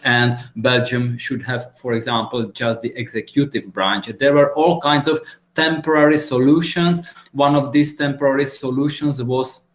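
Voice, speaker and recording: A man from the Czech Republic giving a relaxed, chatty webinar presentation over a video call, with many filler pauses. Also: mid-range voice. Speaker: guest speaker. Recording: echoey room on a video call.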